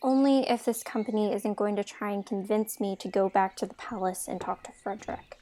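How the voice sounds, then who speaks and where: A woman's voice: medium pitch, fairly quick, warm tone, lightly acted. A woman playing a spirited, outspoken young fairytale heroine in an audio drama. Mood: exasperated, concerned.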